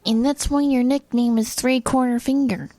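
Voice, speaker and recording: A woman plays a fawning little sidekick in a radio comedy. The voice is extremely high and chirpy, gushing with flattery, in a dry close recording. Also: normal speed, dry recording, lightly acted. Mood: fawning, eager. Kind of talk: comedy radio play acting.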